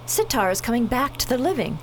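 A woman's voice: on the high side, big pitch swings, a little fast, slight background noise. A woman playing a perky, plucky, informal space crew member in a radio drama. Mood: caring.

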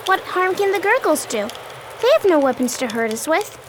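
An earnest, plucky little girl voiced by a woman for a radio play. Her voice is high and very animated, and she states things plainly. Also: normal speed, slight background noise. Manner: earnest, matter-of-fact.

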